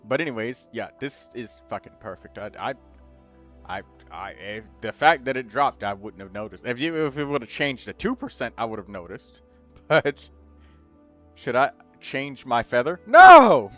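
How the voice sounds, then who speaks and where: A man hosting a gaming stream, chatting casually with friends, excitable and swearing, now and then bursting into loud shouts. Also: mid-range voice, unhurried, soft background music. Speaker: streamer host.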